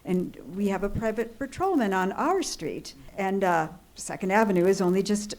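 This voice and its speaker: An actress playing an anxious, imperious bedridden married woman in a radio suspense play, flustered, halting and indignant. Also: medium pitch, animated, darkish tone, natural voice. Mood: exasperated, anxious. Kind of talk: old-time radio suspense play acting. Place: live theatre stage.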